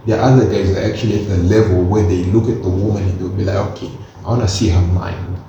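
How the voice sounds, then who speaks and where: A man's voice: sits low, fast, noisy background. A man of twenty-one talking earnestly in casual chat as a co-host, slipping in slang as he explains his point. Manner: earnest, animated, peppers talk with slang. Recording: echoey room from a distance, dull sound.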